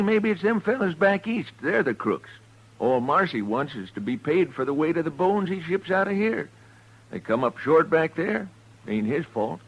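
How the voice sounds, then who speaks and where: An actor playing an earnest frontier depot worker with a rural American accent, folksy and plain-spoken, in an old radio western. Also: male voice, fairly high pitch, breathy edge, full character voice. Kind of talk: 1950s radio western acting. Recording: muffled vintage radio recording.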